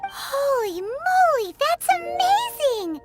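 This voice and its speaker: A woman voicing a tiny, childlike, chirpy fairy companion in a video game, squeaky and eager. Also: very animated, slow pace, exaggerated voice. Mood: amazed. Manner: speaks of herself in third person, eager. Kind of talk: video game character acting. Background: music.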